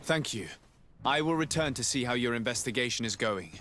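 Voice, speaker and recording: A man's voice: mid-range voice, animated, fairly quick, a bit bright, a little hiss or hum, lightly acted. A man acting as a stern emissary of a merchant state in a video game, speaking formally and with measure. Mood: grave, formal.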